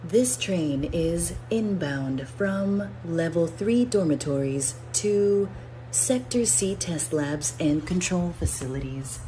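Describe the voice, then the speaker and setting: Casting: woman as impersonal female transit system announcer, game intro, low register, slow and formal. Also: animated, dark tone, slight background noise, poor audio. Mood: impersonal, composed. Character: calm automated female train announcement system. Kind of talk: scripted public-address announcement.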